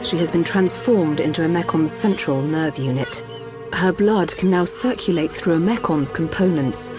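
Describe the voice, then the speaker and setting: A woman's voice: on the low side, normal speed, natural voice. A woman acting a composed, compassionate machine-race doctor in a video game, explaining things formally and precisely. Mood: clinical. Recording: game audio with music bed.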